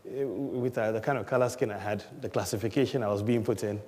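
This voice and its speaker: A man's voice: fairly low pitch, fast talker, a little mellow, in a large hall, slight background noise. Chuckling Ghanaian man telling his story. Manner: chuckles often, mimics voices in anecdotes. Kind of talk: relaxed storytelling.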